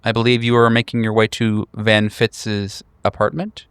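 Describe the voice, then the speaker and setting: A man narrating a horror tabletop game as game master, deliberate, with dramatic pauses. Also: on the low side, little pitch movement, a bit bright, clear, resonant tone, no echo.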